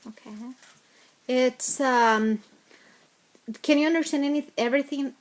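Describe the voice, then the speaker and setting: Woman interviewer, slightly high voice, halting questions, repeats words, non-native phrasing.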